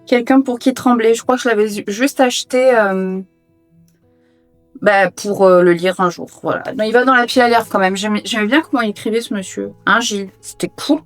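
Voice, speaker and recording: A woman's voice: mid-range voice, brisk, faint music behind. A woman whose first language is Portuguese, chatting casually with playful, self-mocking asides while she tidies her room as a book vlogger. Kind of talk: casual chat while tidying. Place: her own hobby room.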